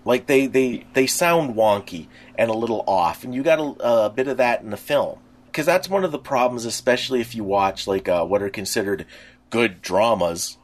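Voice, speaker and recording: A man's voice: mid-range voice, lively intonation, moderate pace. Rambling man in casual podcast chat. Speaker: podcast co-host. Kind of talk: casual chat about pop culture.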